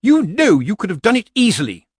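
A shrill, high-pitched witch of high standing, played by a male narrator in a storybook. She is prim, bossy and brittle, with indignant, swooping phrases. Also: wildly swooping pitch, normal speed, slightly rough, no room sound, studio quality, full character voice. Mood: shrieking rage. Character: haughty, brittle, bossy society witch, a woman.

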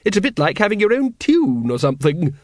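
A hearty, arch upper-class woman, performed by a male narrator in a storybook. The voice is lifted high, emphatic and gushing, recorded close and dry. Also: very animated, a little fast, no echo. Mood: arch, admiring.

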